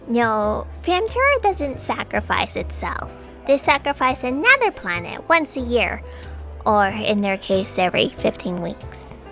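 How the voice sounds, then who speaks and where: A woman's voice: fairly high pitch, sing-song, unhurried, fairly bright tone, faint music behind, full character voice. A woman playing a wry, matter-of-fact female character in a comic sci-fi audio drama.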